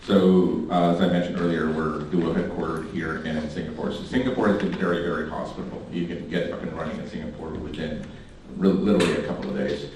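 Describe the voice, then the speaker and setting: A man from the Philippines speaking on a conference panel in a measured way, repeating words for emphasis. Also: a bass voice, little pitch movement, darkish tone, slight background noise. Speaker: panelist, venture capital founder. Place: conference stage in a large hall. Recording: echoey hall, distant mic.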